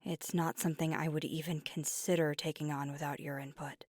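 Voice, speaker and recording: A woman's voice: low voice, level tone, brisk, darkish tone, no echo, studio quality. A woman voicing a devoted, earnest werewolf girlfriend in an intimate ASMR roleplay, speaking sincerely and a little hesitantly. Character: devoted, earnest young werewolf girlfriend weighing big news.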